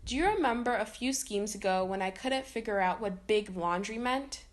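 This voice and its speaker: A woman voicing an excitable male evil scientist who boasts of his schemes in a children's cartoon storybook, theatrical and explanatory. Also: medium pitch, moderate pace, close to an everyday voice. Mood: boastful.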